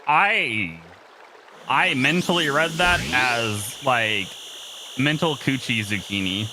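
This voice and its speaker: A man co-hosting a game stream in casual, joking chat, often halting as he speaks. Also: medium pitch, expressive, background noise. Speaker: stream co-host. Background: game music.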